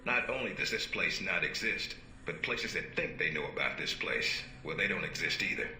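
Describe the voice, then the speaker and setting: An actor playing a stern, dry-witted middle-aged spy agency handler in a video game, measured and authoritative. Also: male voice, low voice, animated, bright, forceful tone, heavily acted. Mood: measured, wry. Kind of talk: video game cutscene acting. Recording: roomy sound with music behind.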